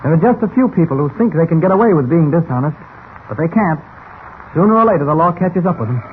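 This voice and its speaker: An actor playing a calm, decisive newspaper reporter with brisk authority in an old radio serial. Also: male voice, on the high side, lightly acted. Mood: calm, reassuring. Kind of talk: 1940s radio serial acting. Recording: tinny, band-limited old radio with hiss.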